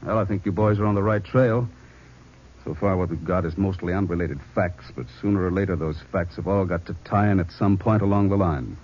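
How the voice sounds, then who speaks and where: An actor playing an authoritative American police chief in an old radio drama, briefing his detectives in a brisk, terse, commanding way. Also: low-pitched, darkish tone. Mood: brisk, decisive. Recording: muffled, faintly noisy old radio recording.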